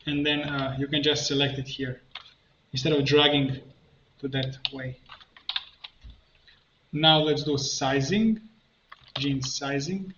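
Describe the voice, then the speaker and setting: A man narrating a step-by-step software tutorial, thinking aloud in a halting way as he works. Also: mid-range voice, fairly even intonation. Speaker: tutorial instructor, coach. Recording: muffled, roomy, faint noise, keyboard clicks. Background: computer keyboard typing and clicking.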